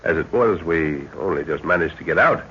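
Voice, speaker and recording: An actor playing a calm, authoritative middle-aged father leading a jungle expedition in a 1930s radio adventure, speaking in a measured, commanding way. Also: rumbling low, heavily acted. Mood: matter-of-fact. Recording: muffled, noisy 1930s radio recording.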